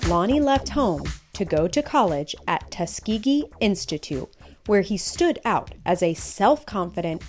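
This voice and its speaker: Slow-paced woman reading a children's storybook. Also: mid-range voice, big pitch swings, darkish tone, soft background music. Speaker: children's read-aloud host. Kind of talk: storybook read-aloud narration for children.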